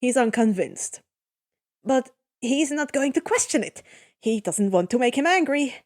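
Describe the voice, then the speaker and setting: Slow, high-voiced woman narrating a story. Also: lively intonation, a little mellow. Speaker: fan fiction reader.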